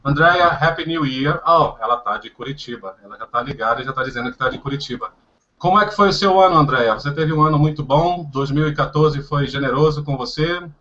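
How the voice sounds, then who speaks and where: A Brazilian man runs a casual group video call with an upbeat, chatty energy. His voice is bright and sits a little high, and the audio comes through dull and lo-fi. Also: fast. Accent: Brazilian Portuguese. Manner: upbeat, switches between Portuguese and English, laughs often. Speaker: host of a language-practice video call.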